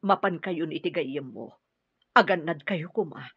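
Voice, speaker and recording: A woman playing a worried, loving mother in a radio drama, tender and concerned, with a husky voice. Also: sits low, moderate pace, no echo. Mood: tender worry. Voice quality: husky, breathy. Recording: dull, dry radio drama audio.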